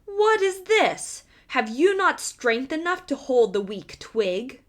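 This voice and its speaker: A big boastful giant from a storybook, acted out by a woman, easily fooled and challenging. The voice is high and swings widely in pitch, with slow, deliberate delivery. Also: sing-song, exaggerated voice. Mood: exasperated. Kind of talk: storybook character voice.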